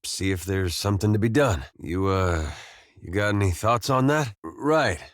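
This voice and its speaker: A man voicing a gentle, world-weary farmer turned adventurer in a video game, plain-spoken and loyal, with a folksy, understated, dryly humorous manner. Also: fairly low pitch, expressive, moderate pace, no room sound, studio quality, natural voice. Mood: hesitant, concerned. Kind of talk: video game companion dialogue.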